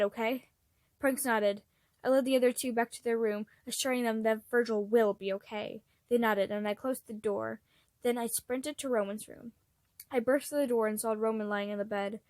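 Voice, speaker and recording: A woman's voice: a little high, a little mellow, no room sound. A woman reading fan fiction aloud as an amateur narrator, expressively and with acted dialogue.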